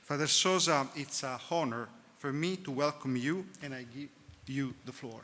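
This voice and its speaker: Halting host with hall echo. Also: male voice, medium pitch, noisy background. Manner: halting, many ums and uhs. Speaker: event host introducing the speaker. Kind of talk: formal introduction with fillers.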